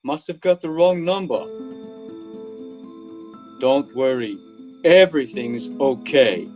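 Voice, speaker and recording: Man playing sly murderer, falsely reassuring, hesitant slower speech, lively intonation, radio play. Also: mid-range voice, soft background music. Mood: falsely calm. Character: stealthy murderer feigning casual apology. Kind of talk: radio thriller acting.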